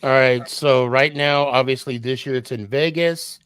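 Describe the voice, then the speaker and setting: A man's voice: mid-range voice, steady tone, normal speed, fairly bright tone, clean tone, dry recording. A man chatting casually and jokingly as a panelist on a sports show.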